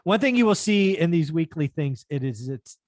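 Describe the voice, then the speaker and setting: A man's voice: on the high side, big pitch swings, moderate pace, no room sound, studio quality. A middle-aged man hosting a podcast, greeting his guest in a chatty, upbeat way over a headset. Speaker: podcast host. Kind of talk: casual interview. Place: home studio indoors.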